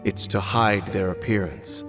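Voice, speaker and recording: Deep-voiced, solemn cursed knight. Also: male voice, steady tone. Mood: brooding, solemn. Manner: formal, measured, grave. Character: solemn, world-weary cursed knight, former captain of royal guards. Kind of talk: scripted video game voice acting. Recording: background music behind the voice.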